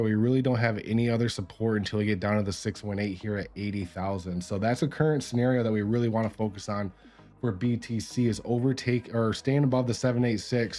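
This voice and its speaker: A man getting over a cold, with a raspy voice, casually walking viewers through crypto charts on a live stream. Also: lowish voice, darkish tone. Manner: casual, explanatory, many fillers.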